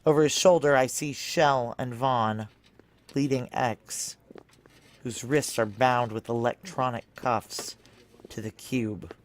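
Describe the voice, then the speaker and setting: An actor playing a tense, deferential security chief in an audio drama, narrating in a clipped, wry American voice. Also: male voice, mid-range voice, fairly even intonation, takes it slowly, slight background noise, lightly acted. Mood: tense narration. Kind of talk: audio drama acting with first-person present-tense narration.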